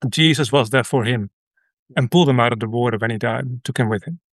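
Man, Dutch accent, middle-aged, earnest, halting, restarts sentences, dry studio sound. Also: medium pitch, dry recording. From Netherlands. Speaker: radio guest. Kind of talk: conversational interview.

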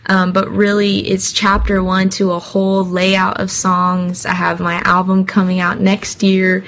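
A young woman from Hawaii answers interview questions with candid, earnest openness. She talks in a natural flow full of small fillers, at a mid-range pitch. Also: completely flat delivery, unhurried, a bit bright. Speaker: singer guest.

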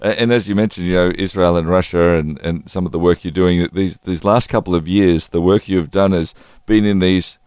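A male radio host speaks in a friendly but halting way and often restarts his sentences. His voice sits low. The studio sound is close and dry. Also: fairly even intonation, darkish tone, clear, resonant tone, dry recording. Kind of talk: radio interview.

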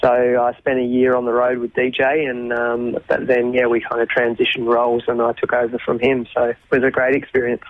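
Casual 40-something Australian guest on a radio interview, reflective, lots of restarts, phone-line sound. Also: male voice, mid-range voice, monotone, fairly quick, hardly any pauses, no echo. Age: 40s. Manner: thoughtful, many ums and restarts. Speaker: interview guest, youth minister.

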